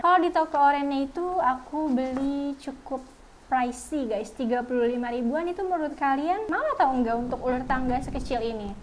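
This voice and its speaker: Chatty high-voiced young woman reviewer. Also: a little hiss or hum. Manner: chatty, often addresses viewers as guys. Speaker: product reviewer. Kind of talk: casual product review vlog.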